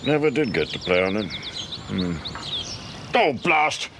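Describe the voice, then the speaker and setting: A man's voice: on the low side, sing-song, unhurried, noisy background. An actor in a radio drama playing a fretful British man in his sixties, retired from office work and gloomily fussy about his lawn. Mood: glum, brooding. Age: 60s.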